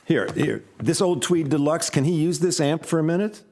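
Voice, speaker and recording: A man's voice: fairly high pitch, moderate pace, a little mellow, dry recording. An actor playing an easygoing, wry man of the seventies rock scene in an audio drama, conversational as narrator and in dialogue. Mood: wry, casual. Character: Eddie, easygoing man in the 1970s rock scene, telling a murder mystery. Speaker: narrator and lead character. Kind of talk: full cast audio drama, first-person narration and dialogue.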